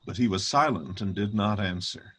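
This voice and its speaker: Low, husky male scripture narrator. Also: normal speed, fairly bright tone.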